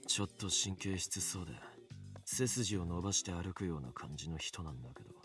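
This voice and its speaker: Deep, cool, low-key man. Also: expressive, rapid-fire, a bit bright, distant mic, faint music behind. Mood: casually probing. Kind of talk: Japanese visual novel voice acting.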